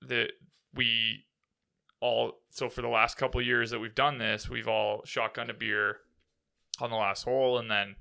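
A man hosting a podcast, chatting casually in a slightly raspy voice and rambling through a story with many fillers. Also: mid-range voice, level tone, measured pace, pushed and bright. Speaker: podcast host. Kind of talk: casual chat.

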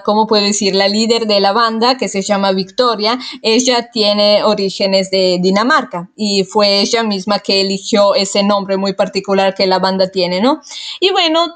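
Chatty woman speaking Spanish. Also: medium pitch. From Italy. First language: Italian. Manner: chatty, hesitant with frequent fillers and self-corrections. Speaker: vlogger answering a viewer question. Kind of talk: casual chat.

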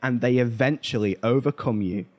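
A man's voice: mid-range voice, normal speed, darkish tone. A man hosting a podcast, talking casually and informally, telling stories with emphatic asides. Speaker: podcast host. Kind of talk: casual pop culture discussion.

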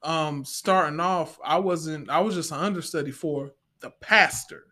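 A man speaking in a heartfelt, conversational way as a panel guest, earnest and reflective, often pausing to restate his phrases. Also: on the high side, pushed and bright. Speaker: stage play actor, panel guest.

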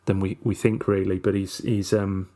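A man's voice: sits low, little pitch movement, normal speed, mellow. A man co-hosting a casual football chat, speaking hesitantly with many fillers and repeated words. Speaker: football podcast co-host. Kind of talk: casual match review chat.

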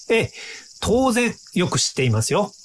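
An actor in a radio drama playing a calm, smug inventor from the future, polite and lecturing in a self-assured way. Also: male voice, high voice, measured pace, exaggerated voice. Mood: coolly confident.

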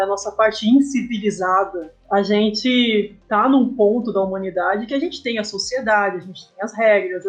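A woman with a Brazilian Portuguese accent talking casually and informally as a podcast guest, telling stories with plenty of slang. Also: medium pitch. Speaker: guest, comic artist.

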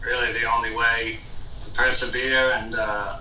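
A man in his thirties, a guest in a casual conversation, speaking in a measured, thoughtful way with some hesitation. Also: medium pitch, level tone, sharp tone, roomy sound, distant mic, a little hiss or hum, low-quality recording.

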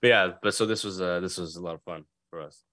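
A woman from Canada co-hosting a podcast, easygoing and quick to laugh, answering in short casual replies. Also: rumbling low, a bit bright, dry recording. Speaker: podcast co-host. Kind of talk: casual chat.

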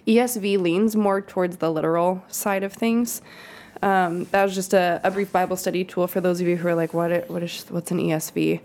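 A woman who serves as a children's pastor leads an informal Bible study on a podcast. She talks in a relaxed, rambling way, with ums and little laughs. Her voice has a noticeable creak. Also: on the low side, normal speed. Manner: chatty, laughing asides, frequent ums. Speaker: kids' pastor.

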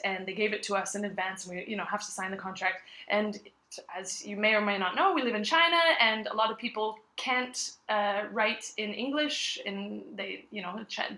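Woman, podcast co-host. Bright, teasing, giggly delivery, bare echoey room. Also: medium pitch. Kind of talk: casual chat. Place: cold apartment full of moving boxes.

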